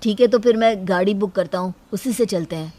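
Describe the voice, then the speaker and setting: A stern husband acted by a woman in a cartoon moral story. The voice is mid-pitched for a woman, brusque and dismissive, with a scolding edge. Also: a little fast, warm tone, close to an everyday voice. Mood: brisk.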